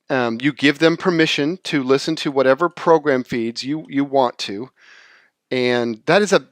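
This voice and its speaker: A man presenting an unscripted software tutorial, explaining in a halting way and rephrasing as he goes. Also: on the high side, no echo, studio quality. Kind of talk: informal screen-share tutorial, unscripted explanation.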